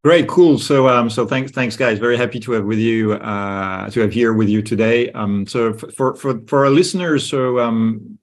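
A man's voice: medium pitch, normal speed, few pauses. A man hosting a podcast interview, often repeating words as he frames his questions. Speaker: podcast host.

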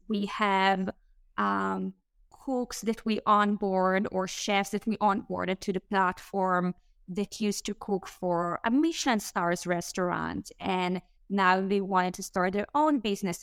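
Israeli-accented woman with a clear voice. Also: medium pitch, dry recording. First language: Hebrew. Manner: fluent but halting, many "um" fillers. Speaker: startup co-founder guest. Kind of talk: podcast interview answers.

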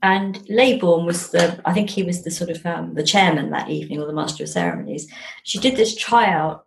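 A woman's voice: lowish voice, sing-song, brisk, vocal fry, rough recording. Chatty English woman telling stories. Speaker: interview guest, novelist. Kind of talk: casual conversational storytelling.

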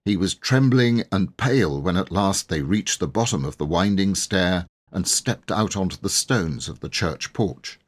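A man reading a children's story aloud as an expressive, scripted narration. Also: low voice, moderate pace, few pauses. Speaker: audiobook reader.

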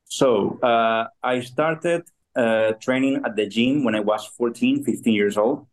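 Ecuadorian man speaking in halting English. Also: mid-range voice. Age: 30s. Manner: halting, with fillers and restarts. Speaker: podcast guest. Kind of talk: casual interview.

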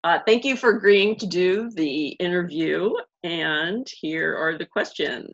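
A middle-aged woman from the United States hosting an interview, chatty and loose, full of "you know" and "like", and quick to laugh. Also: lowish voice. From Pittsburgh area, United States. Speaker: interviewer, host. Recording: dull, muffled lo-fi line.

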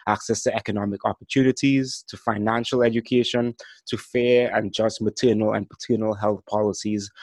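Young man, podcast host, low voice, flat delivery, dry close sound, earnest. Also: no room sound. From Trinidad and Tobago. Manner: earnest, reflective storytelling; some lines read from a script. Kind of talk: solo podcast monologue.

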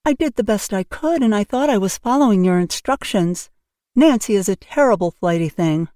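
A woman's voice: medium pitch, animated, normal speed, warm tone, dry recording, clean studio sound, natural voice. A woman voicing a fussy, kindly older neighbor woman who chatters and exclaims in a flustered way.

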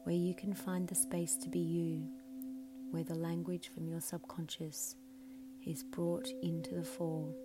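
A woman leading a scripted guided meditation in a soothing, measured way, her phrases often softening into breathiness. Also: on the low side, little pitch movement. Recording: clearly audible background hum and noise.

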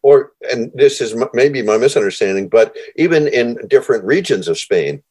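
A man's voice: mid-range voice, expressive, moderate pace, darkish tone, breathy edge. A man hosting an interview. He is enthusiastic and rambles, with many restarts along the way. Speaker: interview host. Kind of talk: conversational interview.

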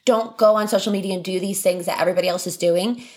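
A young woman co-hosting a casual podcast, speaking to her listeners in a heartfelt, encouraging way. Her voice sits in a middle pitch. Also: fairly even intonation, fast, darkish tone. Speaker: podcast co-host. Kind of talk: casual chat.

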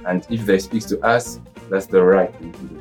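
A man with a Tunisian accent speaking halting non-native English in a casual interview as a musician. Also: low-pitched, a little mellow, faint music behind. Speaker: musician interviewee, oud player and violinist.

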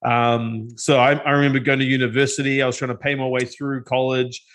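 A man's voice: medium pitch, flat delivery, fairly quick, fairly bright tone. A middle-aged man with a New Zealand accent tells his story as an interview guest. He is loose and self-deprecating, and he restarts his sentences often. His voice sits in an ordinary middle range. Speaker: guest, tech company co-founder.

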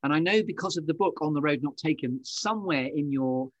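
A man hosting a podcast interview, responding encouragingly and quick to agree. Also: a little high, animated, no echo, dull audio. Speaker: podcast host.

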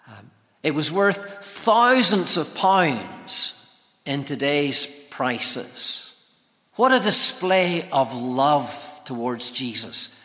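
A man delivers a sermon in a bright, forceful voice pitched a little high. He phrases things deliberately and with emphasis, and his words ring with the long reverb of a hall. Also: sing-song. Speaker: preacher. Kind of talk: expository sermon. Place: large reverberant church or hall.

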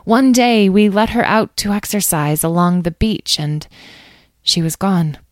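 A woman's voice: medium pitch, steady tone, a little slow, dry recording, studio quality, voice pushed up, natural voice. A woman voicing a bookish, self-doubting female dragon expert in a storybook, speaking hesitantly. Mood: rueful. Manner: plain-spoken, hesitant.

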